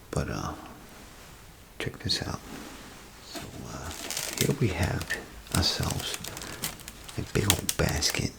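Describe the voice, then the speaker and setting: A man acts out a folksy, attentive bathroom attendant in an ASMR role play. His voice is extremely low and heavily creaky, often dropping into a breathy whisper. He rambles hesitantly and kindly to the listener, over clearly audible background noise. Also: full character voice. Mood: friendly, fussing. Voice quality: breathy, gravelly half-whisper. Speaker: ASMR performer.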